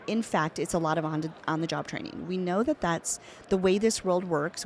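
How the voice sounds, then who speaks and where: A woman hosting a conversational interview, speaking as the interviewer. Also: mid-range voice. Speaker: interviewer, host. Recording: faint background ambience noise.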